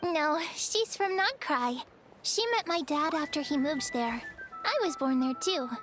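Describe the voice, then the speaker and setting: An earnest, soft-spoken young boy in a video game. His voice is very high and sincere, with a hint of worry. Faint game music sits behind. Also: animated, unhurried. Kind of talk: game voice acting.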